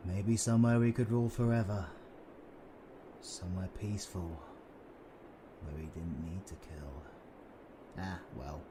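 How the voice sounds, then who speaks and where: A British man playing a cynical, sardonic supervillain with dark powers in an audio roleplay, sweary, mocking and brooding. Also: deep voice, noisy background.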